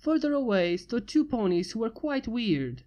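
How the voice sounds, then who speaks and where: A woman reading fanfiction aloud in a casual, steady narration. Her voice sits a little high for a woman and moves lively through the sentences. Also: normal speed. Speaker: fanfiction reader.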